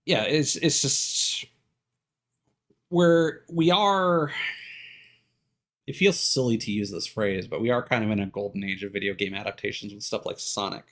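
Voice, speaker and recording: A man streaming live, talking casually and giving his opinions in a halting way, circling back over his words. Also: on the low side, a bit bright. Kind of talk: casual opinionated rambling on a livestream.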